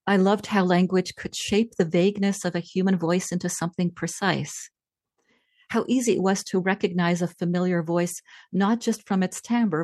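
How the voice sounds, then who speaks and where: A woman author who grew up in Czechoslovakia, reading from her book and then answering interview questions in a thoughtful, measured way. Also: lowish voice, darkish tone, no echo. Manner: thoughtful, measured, with frequent fillers. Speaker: author and language scientist.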